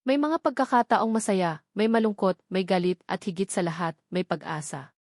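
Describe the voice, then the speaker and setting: A woman with a Filipino accent narrates a scripted dramatic story in an earnest, sentimental way with measured phrasing. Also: medium pitch, a little mellow, no echo, studio quality. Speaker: narrator of online love stories.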